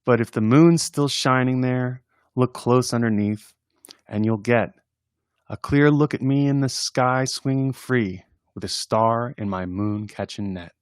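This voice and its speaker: A man's voice: mid-range voice, a little slow, clean tone, no room sound. A man reads playful poems for a story time, taking his time over the words. His delivery is informal and chatty, with an amused air, and the sound is close and dry. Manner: informal, chuckling, chatty asides between readings. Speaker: reader presenting children's poems. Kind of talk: casual read-aloud of humorous poems.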